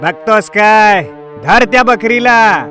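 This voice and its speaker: Man voicing a menacing cartoon wolf, taunting and drawn-out. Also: medium pitch, lively intonation, bright, forceful tone, soft background music. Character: menacing male wolf, bullying predator. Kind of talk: animated story character voice acting.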